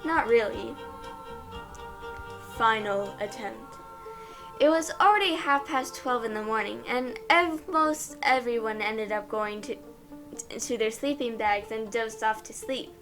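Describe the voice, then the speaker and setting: A young adult woman reading fan fiction aloud in an expressive storytelling style. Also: fairly high pitch, unhurried, poor audio. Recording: music behind the voice. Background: music.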